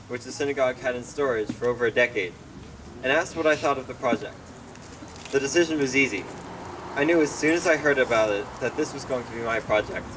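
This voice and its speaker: A man's voice: mid-range voice, fairly bright tone. A teenage American male reading a prepared speech as an Eagle Scout at an outdoor ceremony. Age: teens. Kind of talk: prepared speech read aloud. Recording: distant outdoor mic, clear traffic noise.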